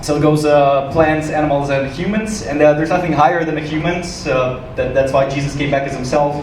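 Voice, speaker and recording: A man from Ukraine performing stand-up comedy to a live audience with a dry, joking delivery and hesitant pauses. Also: fairly high pitch, steady tone. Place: small comedy club stage, live audience. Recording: roomy, noisy club recording from a distance.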